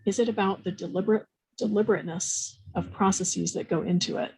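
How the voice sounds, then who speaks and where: A woman moderating an academic panel over a video call, reading out audience questions in a measured, orderly way. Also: mid-range voice, fairly even intonation, creaky, rough recording.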